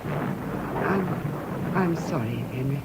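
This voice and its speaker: A woman's voice: low-pitched, animated, slow pace, heavy background noise. An actress with a British accent playing an intense, lovelorn, repressed woman in a fervently theatrical radio drama. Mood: remorseful, haunted.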